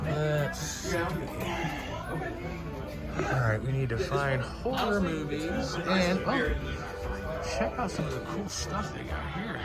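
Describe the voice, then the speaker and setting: Animated, higher-pitched American man. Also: slow pace, long pauses, loud music behind the voice. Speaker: vlog host. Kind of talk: casual chatty movie commentary.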